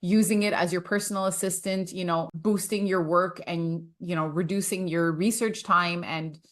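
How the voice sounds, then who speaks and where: A woman's voice: fairly low pitch, steady tone, normal speed. A woman from Quebec, hosting a casual, conversational interview with a fellow speech-language pathologist. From Quebec, Canada.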